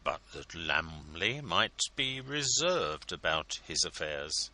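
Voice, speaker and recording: A man voicing an awkward, slow-witted young gentleman who talks in a halting, stiff way. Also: deep voice, sing-song, full character voice.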